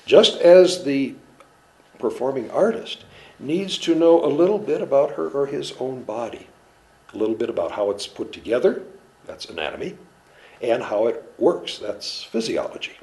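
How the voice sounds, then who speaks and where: A man who treats musicians and dancers, giving a measured, earnest, halting explanation in an interview. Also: mid-range voice, animated, mellow. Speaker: clinician in performing arts medicine.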